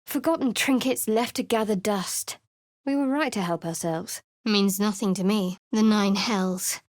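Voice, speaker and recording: A woman's voice: medium pitch, a little slow, no echo, natural voice. A woman voicing a guarded young cleric in a video game, with a dry, cutting, sarcastic manner. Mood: sardonic. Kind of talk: studio video game voice acting. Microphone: close studio mic.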